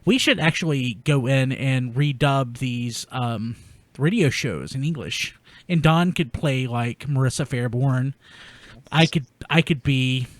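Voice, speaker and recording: A man's voice: medium pitch, unhurried, no echo. A man hosting a casual chat podcast, rambling and correcting himself as he goes. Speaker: podcast host. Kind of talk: casual chat about toy collecting news.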